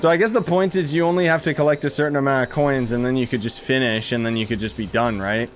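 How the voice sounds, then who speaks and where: A man's voice: mid-range voice, steady tone, fast, soft background music. A man giving casual, slangy live commentary as he plays a game and chats with viewers. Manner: informal, slangy, exclaims and chuckles while playing. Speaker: gaming streamer.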